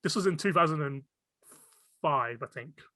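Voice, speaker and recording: A man in his twenties hosts a relaxed podcast chat. His was Cantonese. His voice is bright and forward, and it sounds dry and close to the mic. He laughs often and stumbles over repeated words as he goes. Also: fairly high pitch, normal speed, no room sound. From United Kingdom. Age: 20s. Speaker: podcast host. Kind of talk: casual interview chat.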